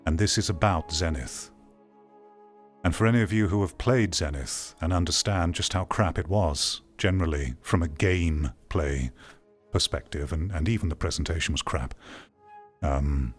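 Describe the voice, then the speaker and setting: A man's voice: sits low, normal speed, soft background music. A man giving off-the-cuff, opinionated critical commentary as a streamer, stressing points by repeating phrases. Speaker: streamer hosting a VR gaming commentary show.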